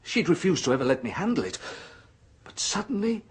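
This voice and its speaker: An earnest, husky professor. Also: male voice, fairly high pitch, big pitch swings, fairly quick, heavily acted. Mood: intense. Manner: hesitant, then rising to hushed intensity. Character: scholarly male professor of ancient history, earnest and intense. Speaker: actor in radio drama. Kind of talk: 1970s radio drama acting.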